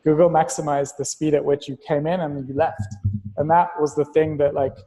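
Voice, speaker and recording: Reverberant, higher-voiced analytical man. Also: level tone, moderate pace, dark tone. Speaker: interview guest, tech investor and entrepreneur. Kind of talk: casual podcast conversation.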